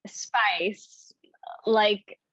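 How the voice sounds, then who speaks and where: Polite, hesitant actress, dry dull audio. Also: female voice, medium pitch, steady tone, drawn-out words, a bit bright, no room sound. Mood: confused. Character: polite, uneasy young actress at an audition. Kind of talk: naturalistic virtual stage acting.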